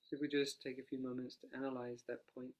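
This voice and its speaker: A quiet, soft-spoken man guides a meditation as a monastic teacher. His delivery is even and nearly flat in pitch, calm and unhurried, and he talks without a dedicated microphone. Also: lo-fi sound.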